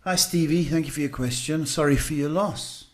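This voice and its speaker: A man hosting live psychic readings, talking casually and haltingly as he advises people, often checking in with a short questioning word. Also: a little high. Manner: halting, repeats "okay" as a check. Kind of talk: live advice to callers, casual spiritual talk.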